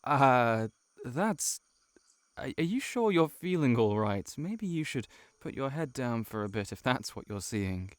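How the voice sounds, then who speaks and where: A male actor voices an earnest, lovelorn monster in human guise for an intimate audio roleplay, recorded very close and dry. His mid-pitched voice moves slowly and haltingly. He pleads with the listener as someone desperate to be believed. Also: sing-song, no room sound. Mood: nervous, flustered. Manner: hesitant, halting, emotionally pleading.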